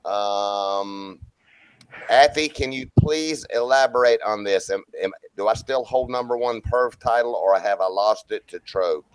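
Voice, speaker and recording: A man's voice: fairly low pitch, unhurried, clean tone, no echo, poor audio. A man joking and boasting in a casual online group chat, often stumbling and restarting. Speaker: livestream chat participant.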